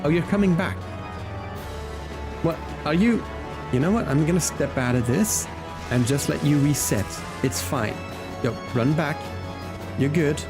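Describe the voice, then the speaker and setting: A man's voice: a little high, unhurried, long pauses. A man giving casual gameplay commentary and chatting to viewers as a livestreamer, with music behind him. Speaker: game livestreamer.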